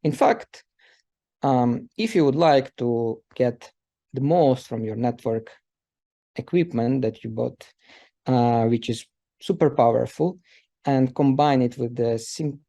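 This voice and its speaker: Gravelly-voiced man giving a tech talk. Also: medium pitch, slow pace, dark tone. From Ukraine. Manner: loose, filler-heavy speech with many uh and um. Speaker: presenter, systems engineer. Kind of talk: technical presentation.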